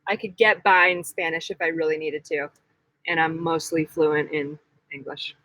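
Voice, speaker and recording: A woman's voice: low voice, fairly even intonation, moderate pace, pushed and bright, dry recording, rough recording. A young woman hosting a casual live-stream Q&A, chatty and giggly, full of likes. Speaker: vlog host.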